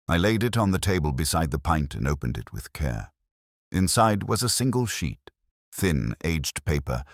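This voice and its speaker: A man narrating a first-person detective story in a measured, reflective, literary manner. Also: very deep voice, no echo, clean studio sound.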